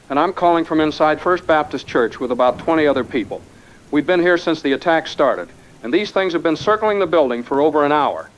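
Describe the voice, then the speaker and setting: A male actor plays a shaken survivor phoning a radio show. His voice sits quite high and he tells things earnestly and tensely, as a sensible man would, over a dull lo-fi connection. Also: slight background noise, lightly acted. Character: shaken but rational man trapped in besieged church. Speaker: phone-in caller. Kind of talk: dramatic eyewitness account, audio drama. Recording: dull, band-limited phone-in sound.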